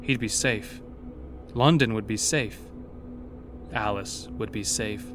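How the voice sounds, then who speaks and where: A man giving scripted, suspenseful narration for a horror audio drama, telling the story in a measured way. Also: lowish voice. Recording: clear music bed behind.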